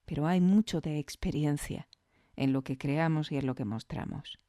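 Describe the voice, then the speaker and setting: A woman's voice: low voice, normal speed, mellow, no echo. Woman podcast host, close dry sound. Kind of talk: scripted podcast narration with conversational asides.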